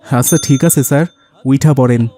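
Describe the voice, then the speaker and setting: A man's voice: medium pitch, fairly even intonation, a little fast, mellow, faint music behind, close to an everyday voice. A man playing a poor, weary, humble rickshaw-puller father in a cartoon, earnest and plaintive, with a colloquial Bangladeshi accent. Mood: obliging. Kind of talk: cartoon voice acting.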